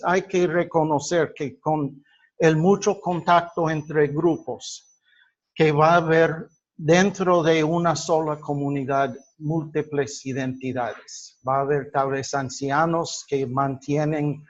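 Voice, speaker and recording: A man gives an explanatory lecture, illustrating it with personal examples. His voice sits slightly high and he speaks very slowly and deliberately, halting between phrases. The recording is dull and lo-fi. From United States. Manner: halting, deliberate, with drawn-out pauses. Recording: dull lo-fi sound.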